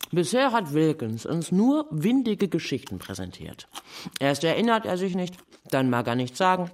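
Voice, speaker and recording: A man acting a police investigator in a crime audio drama, matter-of-fact with a wry, needling edge. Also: a little high.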